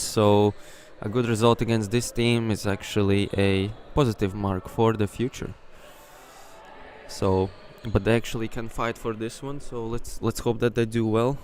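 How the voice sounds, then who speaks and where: A man with a Latvian accent whose first language is Latvian, giving excitable, openly partisan live play-by-play commentary. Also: lowish voice, a little hiss or hum. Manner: excitable, openly partisan, bursts into shouts on big plays. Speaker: sports commentator. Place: indoor sports hall.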